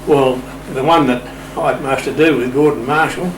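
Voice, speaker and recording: A man's voice: medium pitch, normal speed. Roomy, distant older Australian man, wryly anecdotal. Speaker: interview guest, sailing official. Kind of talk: conversational interview, anecdotal. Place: indoor room, radio shack. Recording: roomy, distant mic, steady hum.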